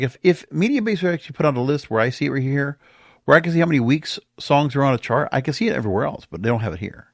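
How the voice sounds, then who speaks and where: Male podcast host, opinionated and rambling, very lively intonation, close dry sound. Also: medium pitch, vocal fry, no room sound. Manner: emphatic, rambling, repeats himself. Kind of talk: opinionated solo podcast commentary.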